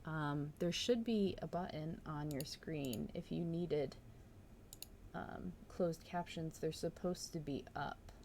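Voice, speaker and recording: A woman's voice: low-pitched, slow, darkish tone. A woman reading a story aloud on a live stream and commenting on it casually. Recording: noticeable background noise.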